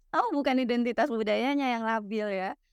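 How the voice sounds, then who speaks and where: A young woman from West Sumatra explaining ideas in a relaxed, teacherly chat, full of fillers and tag questions. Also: on the high side, gravelly, smooth, no room sound, dull audio. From West Sumatra, Minangkabau. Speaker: guest explaining literary theory.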